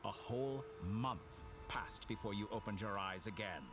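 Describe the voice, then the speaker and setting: A man voicing a smug, silky game villain with godlike power, theatrical and condescending in his mock-polite, deliberate delivery. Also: on the low side, natural voice. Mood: smug, amused. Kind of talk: scripted video game dialogue. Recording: game music behind the voice.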